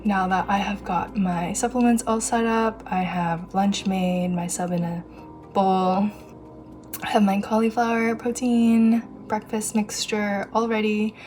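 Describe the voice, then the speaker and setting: A woman's voice: mid-range voice, level tone, unhurried, darkish tone, soft background music. A young woman narrating her day in an upbeat, chatty vlog, talking straight to her viewers. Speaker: vlogger. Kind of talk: casual day-in-the-life narration.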